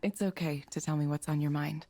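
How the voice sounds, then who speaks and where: Mellow, calm AI counsellor. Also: female voice, low-pitched. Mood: calm, neutral. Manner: measured, scripted, soothing. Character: calm, neutral AI counselling program prompting gently.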